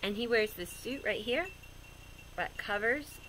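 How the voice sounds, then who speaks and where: A woman reads a children's picture book in a fairly high, very animated voice. She explains things simply as she goes, in an expressive storyteller's style. There is some light noise in the recording. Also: unhurried, rough recording. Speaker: read-aloud storyteller for children.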